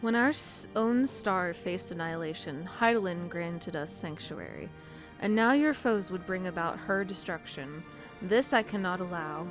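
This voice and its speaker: A woman's voice: lowish voice, sing-song, moderate pace, over music. A woman voicing an ancient, proud female dragon burdened by guilt, speaking in grave, archaic phrases for a fantasy game. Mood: solemn resolve. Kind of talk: fantasy game dialogue reading.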